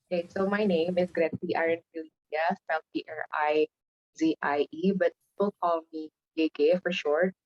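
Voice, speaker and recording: Young female tutor, low voice, muffled video-call sound. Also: fairly even intonation, unhurried. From Bontang, East Kalimantan, Indonesia. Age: young adult. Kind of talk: self-introduction.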